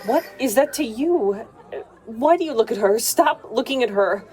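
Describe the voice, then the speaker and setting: An anxious companion, acted by a woman pleads in a fairly high voice. She sounds urgent and foreboding, in audio-play style. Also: animated, normal speed, a little mellow, faint music behind, close to an everyday voice. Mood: anxious pleading. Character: anxious, pleading companion warning of looming disaster. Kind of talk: audio drama acting.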